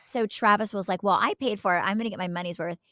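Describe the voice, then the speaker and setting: A woman's voice: mid-range voice, quick pace, fairly bright tone, no echo, clean studio sound. A woman co-hosting a podcast in casual, chatty style, emphatic and given to repeating words for stress. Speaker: podcast co-host. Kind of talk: casual chat.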